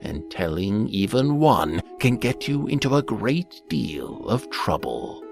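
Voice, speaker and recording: Eerie tale host: very low, unhurried, theatrical and arch, over loud music. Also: male voice, sing-song, exaggerated voice. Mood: arch, dramatic. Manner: dramatic, deliberate, arch. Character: male storyteller host of eerie anthology tales. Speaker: narrator and series host. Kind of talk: theatrical scripted anthology narration.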